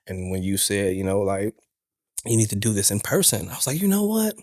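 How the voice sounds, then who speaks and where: Gravelly, laid-back male podcast host. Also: on the low side, big pitch swings, a little fast, darkish tone, dry recording, clean studio sound. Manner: laid-back, chatty, colloquial. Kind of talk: casual interview.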